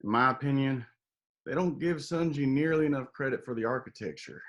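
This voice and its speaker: Man acting earnest buddy, medium-pitched voice. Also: a little fast, fairly bright tone, highs cut off, natural voice. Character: earnest, loyal male actor buddy in a confessional. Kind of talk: remote table read of a comedy web series script.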